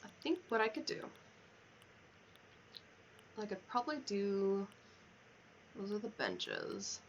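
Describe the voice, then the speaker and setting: Casting: female game streamer, mid pitch, casual thinking-aloud mutter, muffled lo-fi recording with noise behind. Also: huge pitch swings, slow. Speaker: livestreamer. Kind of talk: casual chat while playing a video game. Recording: dull lo-fi mic, clear background noise.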